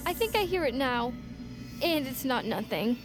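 An actress playing an eager, easily spooked young girl with a wide-eyed, expressive delivery in an audio drama. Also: high-pitched, moderate pace. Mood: nervous. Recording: music behind the voice.

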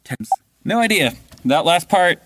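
A man's voice: a little high, unhurried, slight background noise. A man recording voice lines who trips over hard names, curses and tries again. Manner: lively, breaks off to curse and retry. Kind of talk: blooper outtakes, swearing at tricky words.

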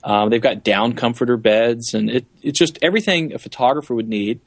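A man's voice: medium pitch, fairly quick, slightly rough, no echo. A man giving enthusiastic, explanatory answers as a guest safari guide in a conversational interview.

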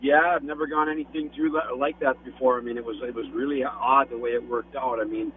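Quick flat-voiced man on a phone line. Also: mid-range voice. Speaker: college hockey associate head coach, guest. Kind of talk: phone interview. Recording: tinny phone line with faint hum.

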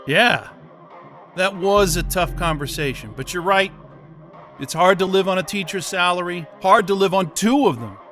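Brainwashed captive in an audio drama: suggestible, over-eager, bright and strained. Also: male voice, sits high. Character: brainwashed male captive, pliant, eager and dazed.

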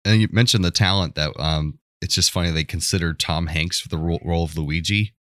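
A man's voice: low-pitched, fairly quick, a bit bright, no room sound, studio quality. A man co-hosting a podcast, talking casually about films in an informal, amused way. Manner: informal, amused, often chuckling as he talks. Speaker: podcast co-host. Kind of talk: casual film discussion.

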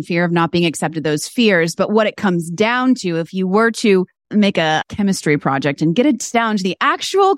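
A woman guest on a podcast interview, talking about business in an animated, emphatic way and building her points through repeated phrases. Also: on the low side, no echo, clean studio sound. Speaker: guest, fashion stylist and business mentor.

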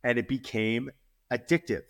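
An earnest man in his forties speaking as a fitness trainer on a podcast. His pitch sits in an ordinary middle range. He often repeats words as he builds a point. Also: steady tone, normal speed, a bit bright. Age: 40s. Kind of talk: podcast interview.

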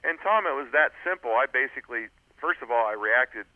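A man's voice: mid-range voice, lively intonation, fairly quick, no echo. A man who is an author and guest on a radio interview, telling a story earnestly with frequent "uh"s and restarts over a phone line. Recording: tinny phone line, dry.

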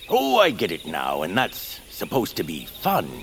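An actor playing a needy, blunt, quirky feral hermit of the woods in an audio drama, theatrical and abrupt with a deadpan streak. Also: male voice, sits low, a little slow, creaky, a little hiss or hum, exaggerated voice. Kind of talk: audio fiction acting.

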